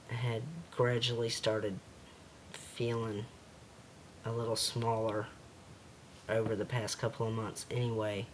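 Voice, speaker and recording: A man with a slightly raspy voice talking hesitantly in a casual home video diary, with many pauses and fillers. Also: on the low side, a little hiss or hum.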